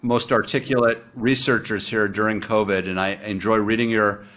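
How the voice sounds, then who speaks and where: A man's voice: lowish voice, steady tone, moderate pace, a bit bright. A man hosting an interview, joking in a friendly, casual way with his guest. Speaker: host.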